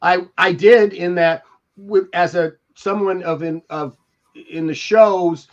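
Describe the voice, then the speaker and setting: An older man talks casually, telling stories in a halting, meandering way. His voice sits a little high for a man. The sound is dull and lo-fi, as on a video call. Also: fairly even intonation. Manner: halting, rambling storyteller. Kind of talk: casual reminiscing. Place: indoor room on a video call.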